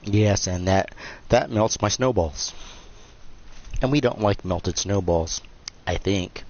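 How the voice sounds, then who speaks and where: A man's voice: lowish voice, slow, a little mellow. A man hosting a live game stream, chatting casually in a nasal, joking, self-deprecating way. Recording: dull lo-fi stream mic with faint hiss.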